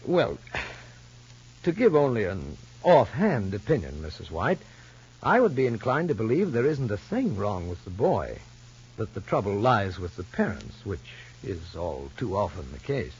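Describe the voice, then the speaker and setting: Low-voiced, authoritative doctor, vintage drama. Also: male voice, sing-song, darkish tone, slightly rough, full character voice. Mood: firm, reasoning. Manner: deliberate, professional, formal. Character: measured, authoritative male child psychologist, calmly probing. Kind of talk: 1950s soap opera radio acting. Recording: muffled vintage broadcast with faint noise.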